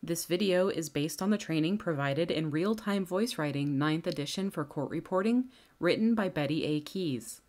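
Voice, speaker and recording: A woman giving a clear, step-by-step software tutorial over a screen recording, speaking into a close microphone. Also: low-pitched, moderate pace, few pauses. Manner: clear, step-by-step instructional delivery. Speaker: software trainer. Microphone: headset or bench microphone.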